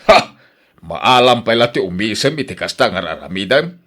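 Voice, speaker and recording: An actor playing a grumpy, stingy old husband in a radio drama, scolding and complaining with an Ilocano accent. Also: male voice, on the low side, lively intonation, moderate pace, fairly bright tone. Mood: angry, scolding. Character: grumpy, stingy old husband guarding his fruit trees. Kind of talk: Filipino radio drama acting.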